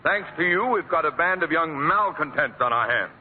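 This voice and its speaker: Blustery pompous principal, order-barking, swooping lively pitch, thin phone-like old radio sound. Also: male voice, normal speed, slightly rough. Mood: blustering. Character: blustering pompous middle-aged male school principal. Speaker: school principal. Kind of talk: 1940s radio comedy acting. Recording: tinny old radio broadcast with hiss.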